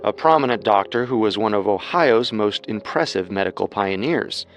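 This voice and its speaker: A man's voice: fairly low pitch, animated, moderate pace, a little hiss or hum. A man hosting a podcast with scripted true-crime narration. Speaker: podcast co-host.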